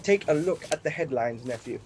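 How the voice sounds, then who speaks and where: A man's voice: mid-range voice, expressive, a little fast, darkish tone, slight background noise, lightly acted. A man acting a gloomy, troubled older uncle in a comic radio play, weary and exasperated.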